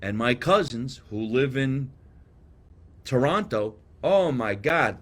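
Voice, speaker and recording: A man with a New Jersey accent hosting a casual live podcast, chatting and reading viewer comments in a rambling, animated way. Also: mid-range voice, slow pace. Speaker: podcast host.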